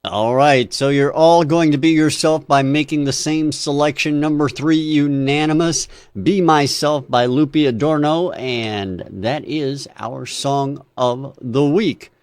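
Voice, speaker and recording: A man's voice: a little high, measured pace, few pauses, a little mellow, clear, resonant tone, no room sound. A man hosting an online music review show, wrapping up with upbeat, joking sign-offs. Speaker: host.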